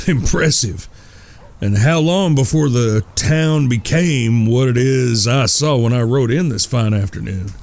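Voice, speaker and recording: Man playing a gravelly, polite frontier traveler. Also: medium pitch, unhurried, darkish tone, lightly acted. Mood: curious, polite. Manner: folksy, courteous, contemplative. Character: polite, wry Old West traveler and poker player. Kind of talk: Western audio drama acting.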